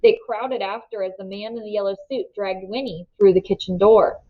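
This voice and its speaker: A woman reading a novel aloud as an expressive storybook narrator. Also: medium pitch, normal speed, dull audio.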